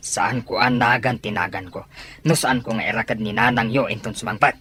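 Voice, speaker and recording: A man's voice: fairly low pitch, steady tone, normal speed, sharp tone. A man playing a stubborn, dismissive father in an Ilocano radio drama, with an Ilocano accent. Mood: defiant.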